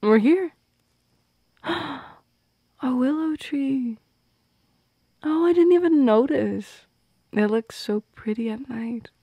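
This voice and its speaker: Woman as shy teasing goth girl for ASMR roleplay. Breathy, whispery, creaky, unhurried. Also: high voice, lively intonation, no room sound, full character voice. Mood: delighted, romantic. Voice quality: soft and breathy, slipping into whispers. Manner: hesitant, teasing, halting with small laughs. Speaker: audio roleplay performer. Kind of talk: intimate ASMR roleplay acting. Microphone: close microphone in a dry space.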